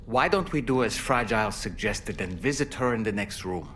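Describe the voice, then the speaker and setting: An actor playing an attentive, concerned companion in a sci-fi video game, polite and measured. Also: male voice, lowish voice, expressive, background noise, lightly acted. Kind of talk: video game cutscene acting.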